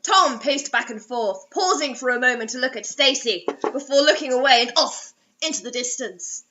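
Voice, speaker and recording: A woman's voice: high-pitched, normal speed, dull audio, noisy background. A woman giving a comedic, mock-dramatic reading of a story, breaking off for sarcastic banter as a co-host.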